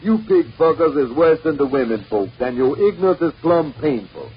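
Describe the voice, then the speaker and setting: A man's voice: a little high, animated, normal speed, dull audio, natural voice. A man performing a comic mock sermon as an exasperated, foul-mouthed, folksy preacher scolding his stingy flock. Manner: folksy, bombastic, cursing pulpit delivery. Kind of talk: mock sermon comedy monologue. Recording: noisy band-limited old 78rpm record.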